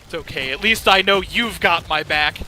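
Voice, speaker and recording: Excitable Connecticut man, high and bright. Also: moderate pace, a little hiss or hum. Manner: excitable, laughs often, jokey. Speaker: streamer. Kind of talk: casual gaming stream chat.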